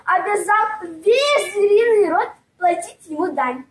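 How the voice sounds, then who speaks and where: A woman's voice: very high voice, lively intonation, slow pace, exaggerated voice. A woman playing an obedient messenger creature in a children's fairy tale play, speaking in a deferential, declamatory way. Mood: obedient, reverent. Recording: distant, echoey, noisy room.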